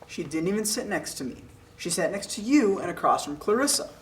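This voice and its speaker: Male actor as blunt young college guy, higher-pitched voice, joking scripted banter, faintly noisy room. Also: sing-song, brisk. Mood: casual, incredulous. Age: young adult. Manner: joking, slangy. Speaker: actor at a script table read. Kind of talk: casual dialogue read aloud from a script.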